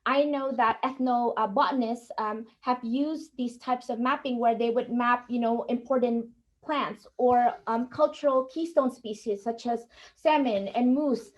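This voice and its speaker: Brief: woman, fairly high voice, earnest webinar speaker who halts and restarts often. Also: steady tone, a little slow. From Indonesia. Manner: earnest, many fillers and restarts. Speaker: guest speaker. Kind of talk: webinar Q&A answers.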